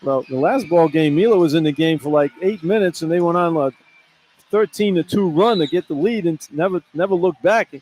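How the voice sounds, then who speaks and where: A man's voice: on the high side, brisk, a little mellow. A man giving informal, plain-spoken color commentary on a basketball game, repeating points for emphasis, from an arena broadcast position. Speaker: radio color analyst. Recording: clear arena ambience noise. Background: arena ambience.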